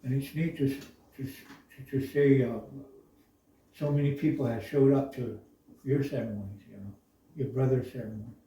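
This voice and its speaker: A man from the Navajo Nation speaking slowly and thoughtfully in a reflective discussion, pausing often with uhs. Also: medium pitch, fairly even intonation, warm tone, a little hiss or hum. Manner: slow, thoughtful, halting with many uhs. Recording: echoey room, voice from far off.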